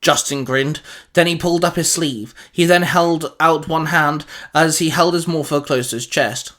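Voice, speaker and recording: A man's voice: on the high side, moderate pace, a bit bright. A man reading a scripted adventure story as its narrator, in a dramatic storytelling style.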